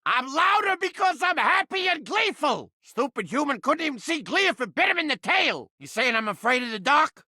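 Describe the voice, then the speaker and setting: Shrill, manic living mousetrap. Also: male voice, bright, forceful tone, slightly rough, no echo, studio quality, full character voice. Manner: blustering, streetwise wise-guy talk. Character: manic, cranky living mousetrap obsessed with snapping rats. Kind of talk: animated video game character acting.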